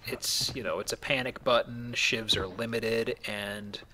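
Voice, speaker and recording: A man's voice: on the low side, steady tone, a little slow, bright, forceful tone, a little hiss or hum, rough recording. A man hosting a let's play with casual, explanatory game commentary and joking asides.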